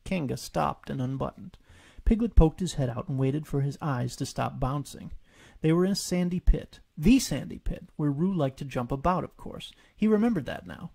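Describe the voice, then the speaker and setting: Mellow, gravelly man reading a story. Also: a little high, animated, normal speed. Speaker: author reading his own story. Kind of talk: scripted audiobook narration.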